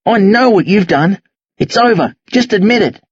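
A man's voice: high voice, animated, normal speed, breathy edge, dry recording, muffled recording, pitched above the performer's own voice. A man voicing an angry, defiant teenage Australian boy in an audiobook, blunt, slangy and confrontational. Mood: furious, accusing. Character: angry accusing teenage boy, rough, foul-mouthed, defiant.

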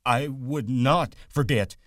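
A man voicing a big, simple-minded grown man who pleads and whines like a child, in rough country grammar. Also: measured pace, darkish tone, no room sound, studio quality, close to an everyday voice. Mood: frantic. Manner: high, whining and frantic, rough country grammar.

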